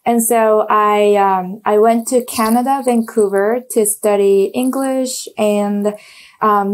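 A woman's voice: medium pitch, a little mellow. A young woman from South Korea with a Korean accent, talking casually as a guest on a video call, with many fillers and restarts as she searches for words. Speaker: guest, designer. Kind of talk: casual interview chat. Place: small home room with bookshelves. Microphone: earphone mic on video call.

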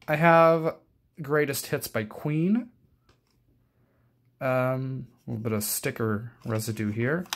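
A young adult man giving a casual, unscripted show-and-tell, rambling hesitantly and often correcting himself. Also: mid-range voice. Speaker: collector presenting his cassette collection.

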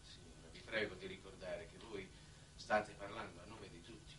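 A man's voice: sits low, steady tone, moderate pace, fairly bright tone, far from the mic. Earnest pushy statesman in old 60s tv drama, formal speechy delivery, echoey and noisy. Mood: solemn persuasion. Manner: formal, oratorical. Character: earnest, insistent diplomatic statesman on a space mission. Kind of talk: 1960s Italian television drama acting.

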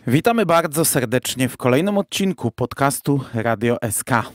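A man hosting a podcast in a casual, conversational way, often pausing to think aloud. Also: medium pitch, expressive, soft background music. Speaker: podcast host.

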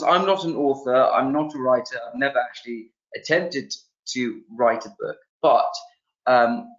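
A young man teaches a webinar from a small room, thinking aloud with plenty of ums, false starts and repeated words. His voice sits at an ordinary middle pitch. It comes through a video call with a dull, lo-fi sound. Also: a bit bright. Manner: loose, thinking aloud with many ums and restarts. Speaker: webinar presenter.

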